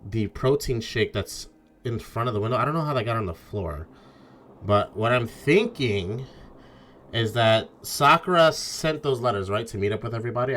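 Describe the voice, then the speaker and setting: A man's voice: mid-range voice, fairly bright tone. A man talking casually and informally as a game streamer, swearing and thinking aloud through his theories. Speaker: streamer playing a mystery game.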